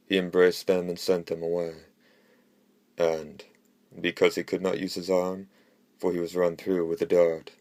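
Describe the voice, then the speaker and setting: A man reading classical history aloud as scripted narration, in a measured monotone. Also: low-pitched, dry recording. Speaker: audiobook narrator.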